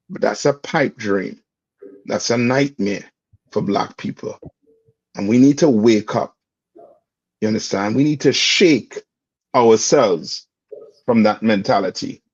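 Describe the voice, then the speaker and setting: A man's voice: mid-range voice, darkish tone, husky, dry recording. Man quizzing a class, dull dry recording. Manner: quizzing, prodding students to answer. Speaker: class instructor. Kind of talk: call-and-response religious class.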